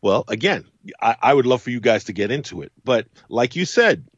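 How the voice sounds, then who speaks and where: A man's voice: medium pitch, very animated, fairly quick, slightly rough, no echo, highs cut off. A man speaking as a guest in a casual conversation, emphatic and repeating phrases for stress. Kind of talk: casual chat.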